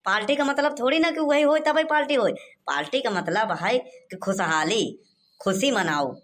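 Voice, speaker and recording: A woman's voice: fairly high pitch, animated, normal speed, close to an everyday voice. A woman voicing a preachy, good-natured village elder man in a comic cartoon, with an Awadhi accent. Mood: preaching.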